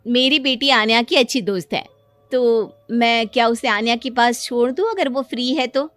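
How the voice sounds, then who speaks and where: A woman's voice: a little high, normal speed, fairly bright tone, no room sound, lightly acted. A woman playing a courteous, emphatic working mother, acted as dialogue in a cartoon story. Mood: polite, earnest. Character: polite, admiring working mother, a family acquaintance. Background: music.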